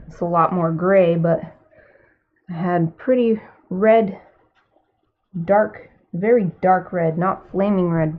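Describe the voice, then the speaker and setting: A woman with a Californian accent, an artist chatting casually while she paints live, musing aloud and murmuring to herself between remarks. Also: on the low side. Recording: tinny phone-like sound.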